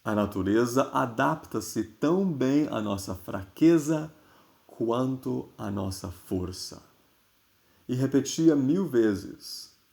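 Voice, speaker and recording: A Brazilian man reads scripted literary prose aloud. His voice sits at a medium pitch and moves at a slow pace. The delivery is expressive and deliberate, set apart by dramatic pauses. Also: creaky. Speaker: reader of a literary newspaper column.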